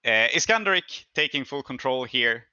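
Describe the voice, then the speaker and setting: A man's voice: medium pitch, expressive, normal speed, bright, forceful tone, smooth, no echo, lo-fi sound. A man narrating and refereeing an improvised tabletop roleplaying game as game master.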